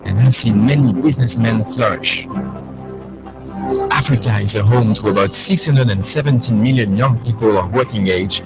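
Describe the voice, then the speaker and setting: A man giving scripted documentary narration in an even, polished delivery. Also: on the low side, rough recording.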